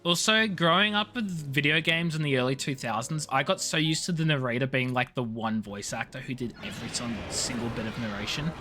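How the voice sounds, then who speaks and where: A woman's voice: rumbling low, fairly quick, few pauses, bright, forceful tone, slight background noise. A woman chatting casually as a game streamer, playful and full of jokey asides. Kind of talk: casual live-stream chat and commentary.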